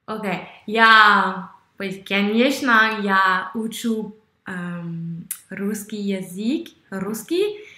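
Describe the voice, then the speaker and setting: A young woman with a French accent, speaking slowly and haltingly on a video call, searching for words with many fillers. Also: mid-range voice, pushed and bright, roomy sound. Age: young adult. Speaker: language-learning video host. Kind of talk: halting conversation in a learned language. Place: small room indoors. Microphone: red headphones, webcam video call.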